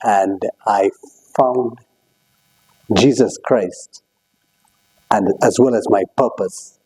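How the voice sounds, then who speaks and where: A man from Kenya, a guest on an interview show, answering in slow, deliberate, measured phrases. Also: on the low side, dark tone, slightly rough, low-quality recording.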